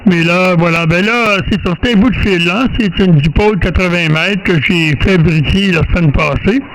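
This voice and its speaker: Male radio amateur, Quebec French accent, slightly high voice, casual and hesitant, light background hiss. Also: normal speed, fairly bright tone, poor audio. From Quebec, Canada. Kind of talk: casual radio contact chat.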